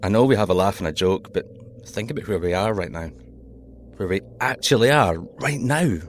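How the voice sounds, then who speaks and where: A man's voice: lowish voice, animated, normal speed, a little hiss or hum, natural voice. A man playing a wry, homesick Scottish astronaut and diver in a naturalistic audio drama, talking colloquially. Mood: wistful. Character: homesick, joking Scottish astronaut and deep-sea diver, male.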